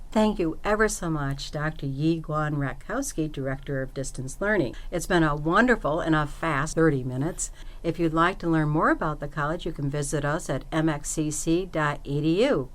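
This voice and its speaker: A woman with a low voice hosts a college radio interview. She is friendly and encouraging, backing her guest with short, warm affirmations. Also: normal speed. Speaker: radio show host.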